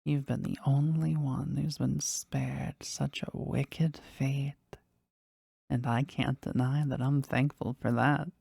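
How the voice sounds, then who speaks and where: A woman's voice: very deep voice, level tone, gravelly, mellow, breathy edge, no echo, studio quality, full character voice. A woman voicing a powerful, devoted, possessive demon in an audio roleplay, with formal, intimate phrasing addressed to a master. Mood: proud, devoted. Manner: slow, formal, reverent, intimate delivery. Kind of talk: scripted roleplay audio drama, addressed to the listener.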